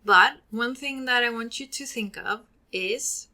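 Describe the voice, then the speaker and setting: A woman from Sweden with a Swedish accent, hosting a solo podcast and giving earnest advice, often trailing off into breathy ends. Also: a little high, measured pace, sharp tone. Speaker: podcast host.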